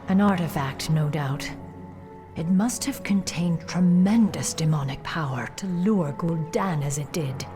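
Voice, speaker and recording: A woman's voice: low-pitched, a little slow, music in the background. An actress playing a resolute female night elf warden in a video game, speaking in a grave, formal manner. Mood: somber, measured. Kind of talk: dramatic video game voice acting.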